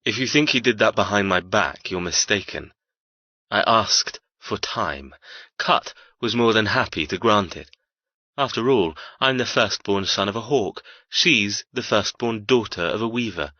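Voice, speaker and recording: A man playing a cold, arrogant young heir in an audiobook, threatening and deliberate. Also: lowish voice, sharp tone, no echo, highs cut off. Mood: cold menace. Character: cold menacing heir, cruel and possessive brother. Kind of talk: dramatic audiobook character acting.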